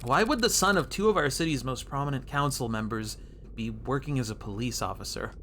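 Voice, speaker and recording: A man's voice: mid-range voice, brisk, fairly bright tone, a little hiss or hum, natural voice. A man voicing a cool female defense lawyer in a noir game, questioning and sardonic with a dry wit. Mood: cool, probing. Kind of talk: reading noir game dialogue aloud.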